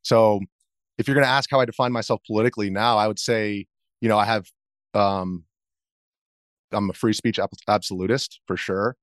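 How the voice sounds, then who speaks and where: A man's voice: lowish voice, fairly even intonation, normal speed, long pauses, no room sound, clean studio sound. A man in his thirties speaking as an interview guest, answering casually in a hesitant, rambling way. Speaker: interview guest, video journalist.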